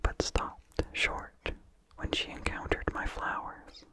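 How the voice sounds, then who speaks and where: A person reading a vintage horror story as ASMR in a soft, hushed, deliberate whisper with no voice behind it. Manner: slow, hushed, deliberate delivery. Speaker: narrator.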